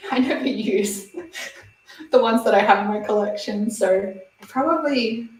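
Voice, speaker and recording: A woman's voice: a little high, a bit bright. A woman, chatting casually on a beauty livestream and reading viewer comments aloud with giggles. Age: 20s. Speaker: beauty channel host. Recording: roomy, slightly distant mic.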